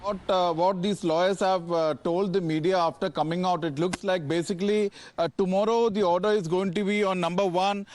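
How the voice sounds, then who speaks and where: Halting high-voiced Indian male reporter. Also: fairly even intonation, a little mellow, slight background noise. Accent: Indian English. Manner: halting, hesitant, repeats words. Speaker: news reporter. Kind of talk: live unscripted news report.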